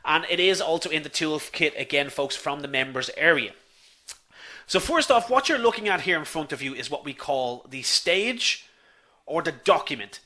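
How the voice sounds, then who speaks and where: A man with a Dublin accent runs a live online lesson, speaking in a slightly raised, bright, forward voice. He is chatty and easygoing, addressing his viewers as folks and answering their comments as they come in. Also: fairly high pitch, sing-song, moderate pace. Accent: Dublin Irish. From Ireland. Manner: chatty, says "folks" often, reads viewer comments aloud. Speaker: online instructor.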